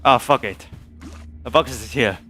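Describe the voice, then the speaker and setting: A man's voice: mid-range voice, measured pace, pushed and bright, faint music behind. Man muttering through a game stream. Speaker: live streamer playing a game. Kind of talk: casual gameplay commentary.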